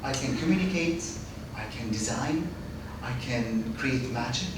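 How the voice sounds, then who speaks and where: A man's voice: medium pitch, unhurried. A man in his late thirties from Kuwait, guest on a podcast, telling his life story in a chatty way with many restarts and laughs. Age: 30s. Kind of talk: casual interview chat. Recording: echoey room, distant mic, noisy.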